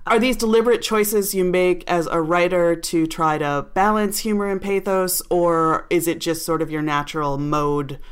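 A woman interviewing an author, speaking thoughtfully and earnestly in quick bursts. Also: fairly low pitch, steady tone, smooth. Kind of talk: interview conversation.

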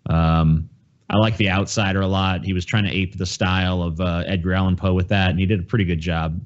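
Man, low pitch, casual podcast host, profane, blunt, slightly dull recording. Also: fairly even intonation, fairly quick. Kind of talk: casual chat.

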